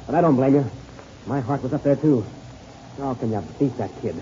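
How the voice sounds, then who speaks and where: An actor playing a brave, commanding airplane pilot in an old 1930s radio serial, with clipped, urgent delivery. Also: male voice, medium pitch, a little fast, dark tone, lightly acted. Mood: relieved. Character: brave, commanding male airplane pilot, loyal and level-headed. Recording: dull, noisy old radio recording.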